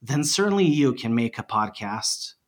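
A man's voice: mid-range voice, big pitch swings, moderate pace. A man from Bosnia and Herzegovina, a podcast guest chatting animatedly and mocking himself with frequent laughs. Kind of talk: casual interview chat.

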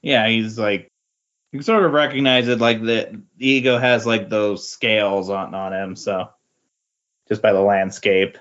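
A man's voice: lowish voice, steady tone, measured pace, fairly bright tone. A man chatting casually as a podcast guest, in a tentative, hesitant way. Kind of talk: casual chat. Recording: dull, lo-fi voice recording.

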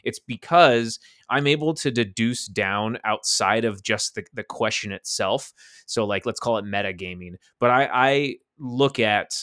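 A man's voice: lowish voice, measured pace, fairly bright tone, dry recording, clean studio sound. A man in casual podcast chat, rambling and thinking aloud with a self-deprecating tone.

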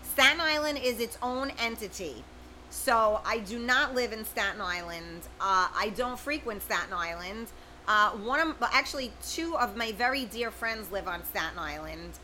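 A woman's voice: on the high side, lively intonation, normal speed, sharp tone, a little hiss or hum, poor audio. A middle-aged woman, hosting a casual livestream, reading viewer comments and chatting with them by name. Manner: chatty, informal, talks straight to viewers by name. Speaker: livestream host.

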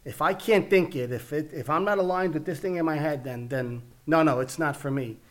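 A man's voice: on the high side, a little fast. A man with a Brooklyn New York accent, co-hosting a casual podcast chat, talking earnestly and often repeating words as he finds his point. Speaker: podcast co-host.

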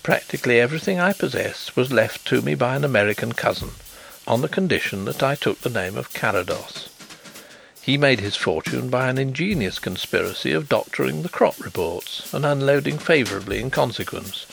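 A suave, genial blind gentleman from a storybook, acted by a man. He sounds quietly thoughtful and self-assured. His pitch moves freely, with some creak in the voice, over faint music. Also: expressive, brisk. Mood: frank, genial.

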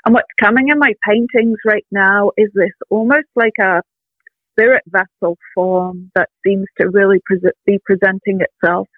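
An older woman artist talking in a casual interview, reflective and earnest, pausing with soft ums, heard over a phone call. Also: medium pitch, fairly even intonation, no room sound. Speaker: artist guest. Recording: tinny dry phone line.

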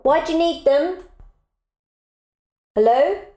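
A woman's voice: sits high, expressive, slow, mellow. A woman playing a busy mother of four in an audio drama, wry, patient and dry in her delivery. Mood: dry, nonchalant. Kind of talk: naturalistic audio drama dialogue.

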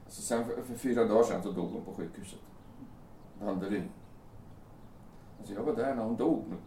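A man's voice: on the low side, mellow, lightly acted. Roomy, distant actor as a bitter grieving man. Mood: anguished. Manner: agitated, sarcastic, swearing, halting. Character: grieving, bitter young bridegroom blaming himself for fatal crash. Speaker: actor in an audio drama. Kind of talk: naturalistic radio drama dialogue. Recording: roomy, distant mic, faint background noise.

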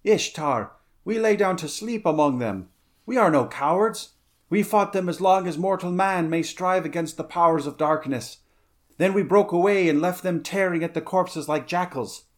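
A man's voice: sits high, moderate pace, voice pushed up, close to an everyday voice. A man voicing a superstitious, shaken corsair, urgent and defensive, in a pirate adventure story.

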